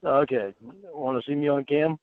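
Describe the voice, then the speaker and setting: A man's voice: on the high side, normal speed, no echo. A man reading a chat exchange aloud and adding his own asides, heard through narrow, tinny playback.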